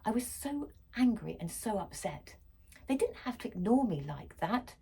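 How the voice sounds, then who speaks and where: A woman's voice: on the high side, big pitch swings, moderate pace, vocal fry, warm tone, slightly rough. A woman reading a children's story aloud expressively, as for a school library.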